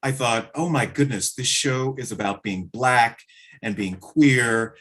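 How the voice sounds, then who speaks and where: A man speaking as a theater director in a conversational interview, with expressive, emphatic phrasing. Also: medium pitch, a little slow.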